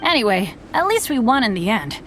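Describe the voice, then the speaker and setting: A woman's voice: fairly high pitch, sing-song, fairly quick, fairly bright tone, a little hiss or hum. A woman voicing an upbeat, plucky young adventurer in a video game, chirpy and candid.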